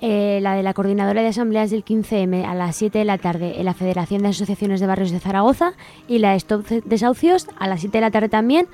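A woman's voice: mid-range voice, a little fast, warm tone. A woman with an accent from Spain, co-hosting a community radio show and reading out event times and places. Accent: Spanish from Spain. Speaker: radio co-host.

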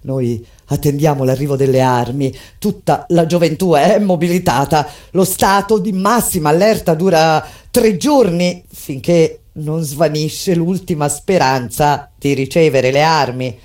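A woman performing a theatrical monologue as a grave resistance fighter recounting an uprising, in a solemn, deliberate, declamatory delivery. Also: sits low. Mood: grave, tense. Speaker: actress narrating a stage monologue. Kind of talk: theatrical dramatic reading of a survivor's testimony. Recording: theatrical reading over prominent music. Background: loud musical accompaniment.

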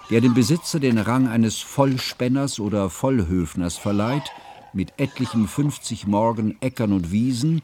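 An actor playing an ageing scholarly schoolmaster who narrates a radio drama in a measured, pedantic, ornate way. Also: male voice, medium pitch, darkish tone, a little hiss or hum, close to an everyday voice. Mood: descriptive, measured. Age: older. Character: ageing scholarly schoolmaster, humane, ceremonious, reflective. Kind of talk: literary first-person radio drama narration.

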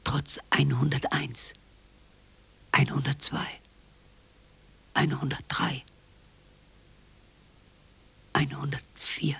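An actor playing a broken, bitter old man in a radio drama monologue, hoarse and halting, often breaking into whispers. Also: medium pitch, monotone, pushed and bright, heavily acted. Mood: haunted, muttering.

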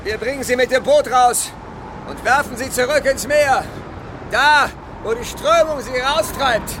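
An actor playing a calm, controlling leader of island survivors in a radio drama, speaking with measured, patronizing authority. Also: male voice, extremely high pitch, a little hiss or hum. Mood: commanding. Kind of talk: German radio drama acting.

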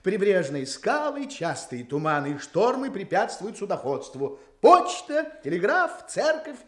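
An actor playing a bitter, dreamy village schoolteacher, musing and declaiming in 1960s radio drama style. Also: male voice, sits high, big pitch swings, a little slow. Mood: sardonic, reciting. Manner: declamatory, musing, repeats phrases to himself.

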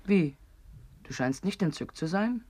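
An actress playing a sly, macabre, teasing woman in a radio play, sardonic, sententious and theatrical, with a husky voice. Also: on the low side, lively intonation, measured pace, warm tone. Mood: gloating, teasing. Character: sly, macabre, teasing woman who devours her friends. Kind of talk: absurdist German radio play acting.

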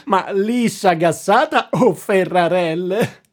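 A man's voice: high voice, slow. A manic supermarket doom-clown from a stage satire. He declaims everything like an advertising slogan. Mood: manic, gleeful.

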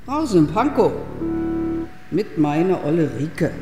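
A man's voice: on the high side, sing-song, measured pace, loud music behind the voice. An actor playing a reminiscing old widowed man. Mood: wistful. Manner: reminiscing, drawn out. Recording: roomy reverb.

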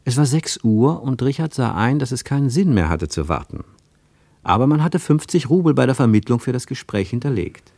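Guy narrating a radio play, lowish voice, animated literary reading, dry studio sound. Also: a little fast, no echo.

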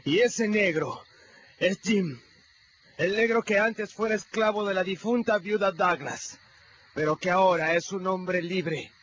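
A man's voice: high voice, unhurried, a bit bright, slight background noise. An actor playing a boastful, adventurous teenage boy with a dramatic, showy way of telling things, in a radio drama. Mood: urgent. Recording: muffled radio play with music.

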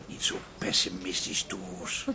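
An actor playing a concerned, soothing husband in a radio drama, reassuring, with a creaky voice. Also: male voice, deep voice, completely flat delivery, takes it slowly, bright, forceful tone, a little hiss or hum, heavily acted. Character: concerned, soothing husband at his wife's side. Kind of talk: Dutch radio drama acting.

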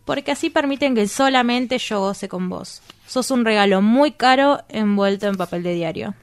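A woman's voice: a little high, normal speed, smooth, lightly acted. A woman with an Argentine accent playing a coy, earnest young woman in love in a radio drama. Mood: tender, coy.

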